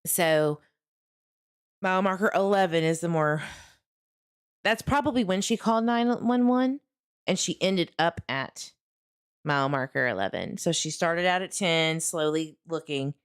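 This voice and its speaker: Low-voiced woman livestreamer. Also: animated, measured pace, long pauses, clean tone. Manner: conversational, earnest, addresses viewers directly. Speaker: host of a true crime livestream. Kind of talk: casual livestream commentary, chatting to viewers.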